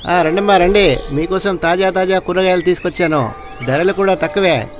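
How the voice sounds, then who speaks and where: A man's voice: sits high, normal speed, a little hiss or hum, natural voice. A man voicing an eager, long-suffering street vegetable seller in a cartoon story.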